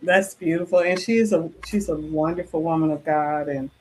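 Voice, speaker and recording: A woman's voice: low voice, moderate pace, a little mellow, a little hiss or hum, rough recording. A woman hosting a talk show, asking her guest encouraging questions. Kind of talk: interview hosting.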